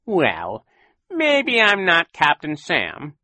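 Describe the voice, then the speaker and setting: A man's voice: on the high side, wildly swooping pitch, very slow, bright, forceful tone, no echo, pitched above the performer's own voice, exaggerated voice. A man voicing a boastful, cruel villainous con man in an audiobook, with a growling, mocking, exaggerated delivery. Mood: feigned innocence. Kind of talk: audiobook character voice.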